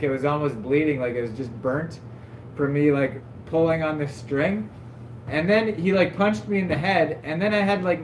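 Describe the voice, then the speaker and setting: Rambling man streaming from an echoey room. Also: on the high side. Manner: rambling, loose, peppered with "like" and self-mocking laughs. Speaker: livestream host. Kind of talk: casual stream chat, storytelling. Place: at his desk at home. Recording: echoey room, faint background hum.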